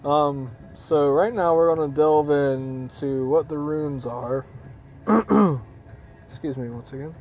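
Hoarse man with frequent throat clearing. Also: fairly high pitch, a little mellow, highs cut off. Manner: halting, many fillers, frequent throat clearing. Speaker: teacher of runes. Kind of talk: casual spoken lesson.

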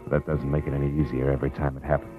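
A low, muffled American male voice from an old radio thriller, with music behind it. a tough, world-weary private eye. He is wry, clipped and hardboiled, whether narrating or trading lines. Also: level tone, rapid-fire. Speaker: lead actor and narrator. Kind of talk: 1940s-50s radio noir acting, hardboiled narration.

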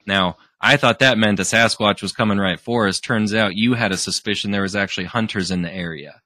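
Chatty podcast host guy, kinda low but bright voice, dry close mic. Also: brisk, dry recording. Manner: chatty, frequent restarts, laughs easily. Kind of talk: casual conversation.

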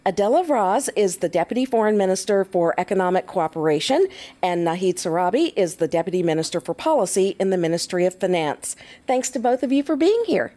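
A woman hosts the interview with a polished, even broadcast delivery. Her tone is mellow and dark, at a middle pitch. She introduces her guests and asks clear, scripted questions. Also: fairly quick. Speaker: interviewer, host.

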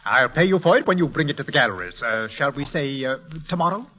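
An actor in an old-time radio drama playing a suave, persistent London art dealer, formal and smooth-talking. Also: male voice, mid-range voice, lively intonation, fast, pushed and bright. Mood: ingratiating.

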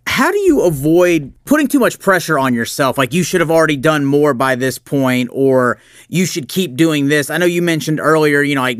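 Higher-voiced male podcast host. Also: fairly bright tone, no echo, clean studio sound. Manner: supportive, asks long rambling questions. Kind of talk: casual interview.

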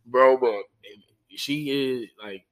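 A man's voice: mid-range voice, drawn-out words. A young man from New Orleans with a light New Orleans Creole accent, talking casually as a podcast guest in loose, rambling sentences full of restarts and fillers. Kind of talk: casual conversation.

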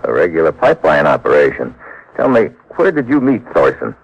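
An actor playing a tough, wisecracking government agent in a 1950s radio drama, with clipped, wry hard-boiled delivery. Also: male voice, extremely low pitch, huge pitch swings, fairly quick, vocal fry, breathy edge, no echo, full character voice. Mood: brisk questioning. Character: tough, wisecracking male government agent, world-weary troubleshooter. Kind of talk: 1950s radio drama acting and first-person narration. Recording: muffled old radio broadcast, music behind.

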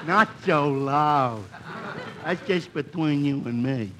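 An actor playing a cheerful, slow-witted barfly sidekick in a 1940s radio comedy, with a goofy, earnest manner. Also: male voice, on the high side. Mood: dopey. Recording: very noisy vintage radio recording.

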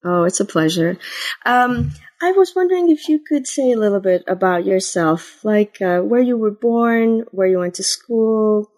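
A woman's voice: medium pitch, lively intonation, normal speed, darkish tone. Woman hosting an academic podcast. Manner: friendly, with many ums and uhs. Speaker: podcast host. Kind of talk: interview hosting.

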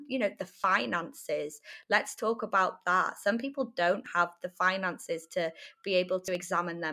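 Reflective woman with a lowish voice. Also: a bit bright. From North Wales. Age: late 20s. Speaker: interview guest, article writer. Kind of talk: candid conversational interview answers.

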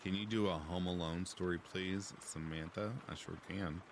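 A man with a very deep, raspy voice chats casually on a livestream, reading and answering his viewers' comments. He speaks in a relaxed, easygoing way, and there is clear noise behind him. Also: moderate pace. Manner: relaxed, chatty, reads chat aloud. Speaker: livestream host. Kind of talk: casual chat with live chat.

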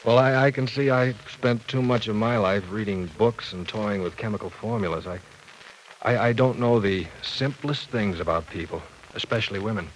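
Actor as a hesitant, low-voiced bookish professor. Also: male voice, normal speed, natural voice, low-quality recording. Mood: unsure. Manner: hesitant, stammering, mild. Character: timid, bookish male professor, baffled by women. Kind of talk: 1940s-50s radio soap acting. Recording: old radio recording, faint noise.

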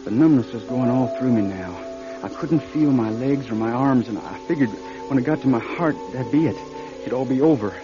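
An actor playing a young drifting country singer in a radio drama, plainspoken, folksy and halting. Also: male voice, mid-range voice, lightly acted. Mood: grave narrating. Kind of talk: radio drama acting with first-person narration. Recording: slightly dull radio recording. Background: music.

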